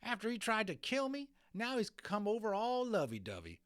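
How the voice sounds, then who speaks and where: A man voicing a tiny sarcastic, belligerent storybook cowboy with a folksy, drawling, shouty delivery. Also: sits high, huge pitch swings, moderate pace, smooth, no echo, clean studio sound, pitched above the performer's own voice, heavily acted.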